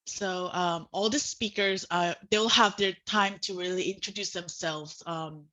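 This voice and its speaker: A woman with a very bright, fairly low voice moderates a student panel over a video call. Her semi-formal delivery is halting and peppered with informal filler phrasing. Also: fairly even intonation, poor audio. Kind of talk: semi-formal presenting and interviewing. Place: online video call with screen sharing.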